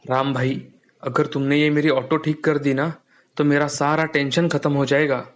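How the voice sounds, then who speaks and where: A man's voice: on the high side, flat delivery, moderate pace, natural voice. A man playing a friendly, hardworking father who drives a rickshaw in a storybook cartoon. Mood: earnest. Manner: polite, earnest.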